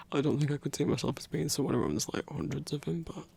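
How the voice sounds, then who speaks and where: A man playing a grumpy but affectionate werewolf boyfriend in an intimate ASMR roleplay, talking in a halting, self-conscious way with a gravelly voice. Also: on the high side, animated, a little mellow, exaggerated voice. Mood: bashful, fond. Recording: faint noise, older home recording.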